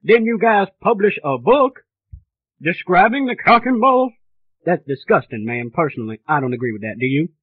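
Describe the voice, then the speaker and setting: A man's voice: sits high, sing-song, normal speed, breathy edge, voice pushed up, heavily acted. A man playing an indignant, clueless father on a prank phone call, rambling and stammering as he complains. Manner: rambling, stammering, repetitive, easily offended. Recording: tinny phone line.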